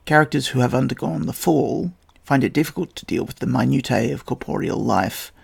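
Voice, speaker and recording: A male podcast host reads a scripted narration with a heavily gravelly, fry-laden voice. He sounds slightly croaky and a little husky. His delivery is measured and evocative, like someone telling a story. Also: medium pitch, expressive. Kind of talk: scripted narration on history and tabletop role-playing.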